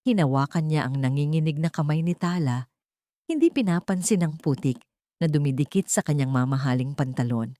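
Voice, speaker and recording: A woman with a slightly low voice narrates a dramatic love story. Her delivery is scripted audiobook storytelling. The sound is dry, with little room echo. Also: animated, brisk, warm tone.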